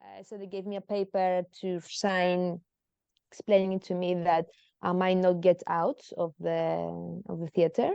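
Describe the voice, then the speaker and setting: A woman's voice: fairly low pitch, little pitch movement, measured pace, creaky, warm tone, smooth, dry recording, highs cut off. A woman in her thirties from Greece, speaking as a podcast guest in a hesitant, emotional way with many fillers. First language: Greek. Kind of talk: personal interview.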